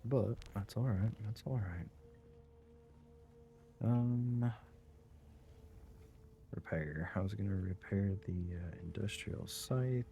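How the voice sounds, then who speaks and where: A man doing casual live gameplay commentary, thinking aloud and muttering to himself in short, unhurried phrases. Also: fairly low pitch, creaky, mellow, lo-fi sound, a little hiss or hum. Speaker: game streamer.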